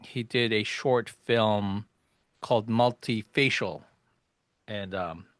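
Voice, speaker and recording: A man hosting an interview podcast, asking questions in a hesitant way with many pauses and restarts. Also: lowish voice, no echo, clean studio sound. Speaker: podcast host.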